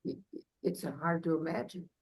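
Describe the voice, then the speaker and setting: A woman's voice: on the low side, level tone, normal speed, a little mellow, low-quality recording. An older woman reflecting earnestly on a story in a casual book discussion. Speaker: book club member.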